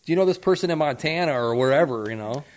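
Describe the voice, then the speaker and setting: A man's voice: on the high side, lively intonation, quick pace. Chatty teasing male host. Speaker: podcast host. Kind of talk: casual interview chat.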